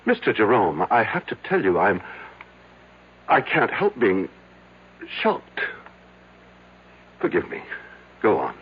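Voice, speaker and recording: Earnest psychiatrist, low animated voice, formal hesitant questions, dismayed, vintage radio drama, dull sound. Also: male voice, slow, long pauses, breathy edge, exaggerated voice. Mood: shocked. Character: earnest male psychiatrist, probing and dismayed by his patient. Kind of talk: old-time radio drama acting.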